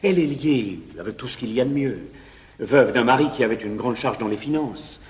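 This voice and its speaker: A man playing a nervous young gentleman in love in a classic stage comedy, earnest and hesitant. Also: mid-range voice, expressive, fairly quick, muffled recording, natural voice. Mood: anxious. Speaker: actor in radio play.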